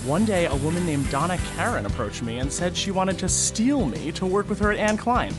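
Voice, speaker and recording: An actor playing an eager young fashion apprentice, chatty and hopeful. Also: male voice, high-pitched, expressive, brisk, music in the background. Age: young adult. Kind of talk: audio drama acting.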